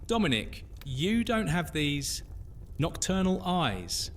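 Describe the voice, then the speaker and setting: Man playing a lively, high-voiced curious half-witch. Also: slow pace, a little hiss or hum, full character voice. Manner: inquisitive, informal, animated. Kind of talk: audio drama acting. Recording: music under the voice.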